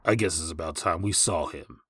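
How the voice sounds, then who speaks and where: A man voicing a cool, resolute bearded gunman in an audiobook, calm, confident and deliberate. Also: deep voice, husky, dry recording, pitched below the performer's own voice. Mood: cool curiosity. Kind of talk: dramatised audiobook character voice.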